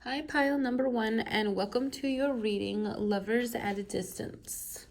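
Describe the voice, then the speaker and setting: Woman tarot reader talking to camera, stuffy head cold, some vocal fry, chatty and rambling. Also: a little high, unhurried, low-quality recording. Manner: chatty, rambling asides with many fillers. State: has a cold, stuffed up and coughing. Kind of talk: casual pick-a-pile tarot reading to camera. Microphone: camera mic.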